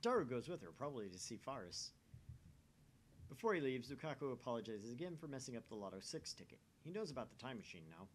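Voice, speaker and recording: Fast-reading man, faint background noise. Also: mid-range voice, big pitch swings. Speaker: gaming channel host, reader. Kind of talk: voice-acted read-aloud of a visual novel.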